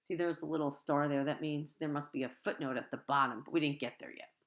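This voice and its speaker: A woman with a low-pitched voice reads to young pupils as their teacher. She is expressive and often turns to address the children with short explanations. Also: fast. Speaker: third-grade teacher reading aloud. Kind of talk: animated children's read-aloud with teaching asides.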